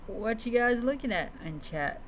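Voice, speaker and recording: A woman streamer role-playing in character as a dragon rider in a game, speaking haltingly and repeating words. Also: on the high side, animated, slight background noise, rough recording. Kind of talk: in-character game roleplay storytelling, talking to pet creatures.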